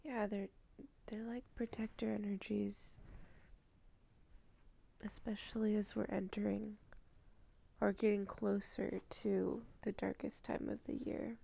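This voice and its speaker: A woman leading a channeled guided meditation, speaking softly and half-whispering, with sighs and long pauses. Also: mid-range voice, flat delivery, gravelly. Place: indoors at night.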